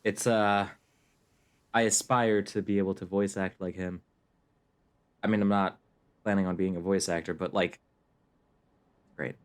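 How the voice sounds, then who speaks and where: A man's voice: low voice, level tone, unhurried, pauses often, clear, resonant tone. A young man casually commenting on a game as he livestreams it. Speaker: game streamer. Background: game music.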